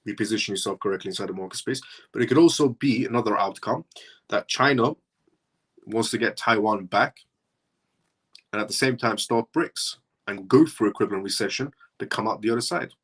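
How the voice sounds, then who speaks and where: A man's voice: on the low side, a little fast, a bit bright, slightly rough. A man originally from Iran, hosting a live show and talking through his points in a rambling way that circles back on itself. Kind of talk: casual livestream commentary.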